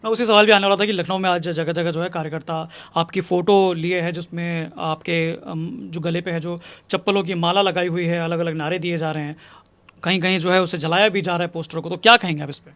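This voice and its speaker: A man asking interview questions as a news reporter, phrasing them haltingly. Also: high voice, steady tone, a bit bright.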